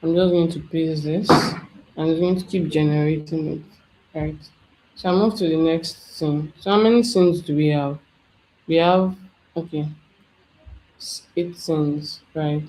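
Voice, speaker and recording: An instructor talking through a software tutorial step by step, thinking aloud as they work. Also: medium pitch, steady tone, a little slow, rough recording. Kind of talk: screen-share software tutorial.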